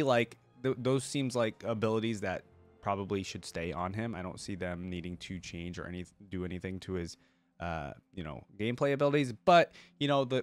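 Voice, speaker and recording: Male reaction host, informal and exclamatory, casual slangy delivery, music underneath. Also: lowish voice.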